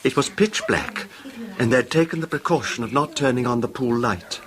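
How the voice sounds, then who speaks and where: An actor playing a nervy, anguished rich husband making a halting, stammering confession in a 1970s radio horror drama. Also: male voice, medium pitch, animated, fairly bright tone, close to an everyday voice. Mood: heartsick, grave. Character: anguished, nervy, wealthy husband recalling his wife's betrayal. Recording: noisy old 1970s radio recording.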